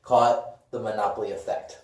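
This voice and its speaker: A man co-hosting a casual talk show, speaking informally and emphatically, pausing mid-sentence. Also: lowish voice, little pitch movement, a little mellow. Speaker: co-host of a video talk show. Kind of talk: casual chat, pitching a movie idea. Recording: roomy sound, faint noise.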